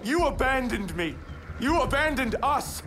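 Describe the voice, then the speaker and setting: Game role: resentful young male leader and son, high-pitched, indignant, deliberate pacing, dramatic. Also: big pitch swings, background noise, full character voice. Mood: angry, betrayed. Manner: declamatory, indignant. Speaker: actor voicing a game character. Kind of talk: dramatic game cutscene acting. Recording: music under the voice. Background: game music.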